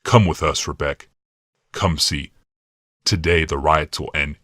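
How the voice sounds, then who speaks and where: Guy doing a really deep commanding ruler for an audiobook, calm, measured, kinda smug. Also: no echo, studio quality, heavily acted. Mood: gently mocking. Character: imperious male military ruler, calm, calculating, gently mocking. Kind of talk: audiobook character dialogue.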